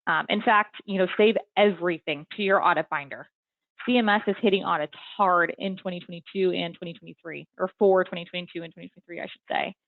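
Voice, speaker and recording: A woman's voice: lowish voice, fairly even intonation, fast, gravelly, dry recording. A young adult woman presenting a webinar in a friendly, casual way, with lots of ums and you-knows, over video call audio. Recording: tinny phone-like webinar audio.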